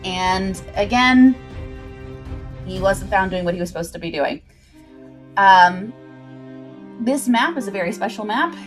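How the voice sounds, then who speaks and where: A woman's voice: medium pitch, lively intonation, a little slow, pauses often. A woman hosting a livestream, chatting informally with viewers and recapping a story, with asides to the chat. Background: background music.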